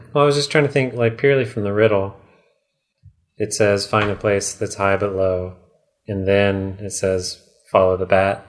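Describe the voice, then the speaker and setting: A man's voice: on the low side, flat delivery, moderate pace. Man musing aloud in casual podcast chat. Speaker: podcast co-host playing along with the story.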